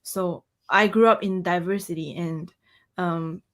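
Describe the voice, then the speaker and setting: A woman's voice: lowish voice, steady tone, a little slow, a bit bright. A woman from Malaysia speaking conversationally as a musician guest in an interview. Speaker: interview guest, pianist and composer.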